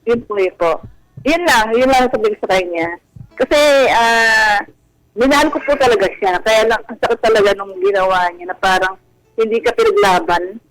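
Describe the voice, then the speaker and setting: A man's voice: sits high, moderate pace, a bit bright, no echo, poor audio. A man with a Filipino accent calling in to a radio show, speaking politely and hesitantly as he confides. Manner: polite, deferential. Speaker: call-in guest.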